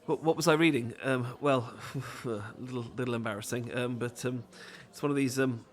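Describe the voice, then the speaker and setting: A man's voice: mid-range voice, steady tone, moderate pace, lightly acted. A man with a British accent playing a nervous, apologetic, bookish romantic lead in an audio roleplay, stammering and rambling hesitantly. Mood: shy, self-deprecating.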